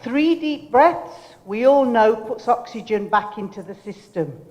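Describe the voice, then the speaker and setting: An older woman giving a motivational keynote speech, loud and commanding, directing the audience. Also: a little high, expressive, a little slow. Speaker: keynote speaker. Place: lecture hall. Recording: lecture hall reverb.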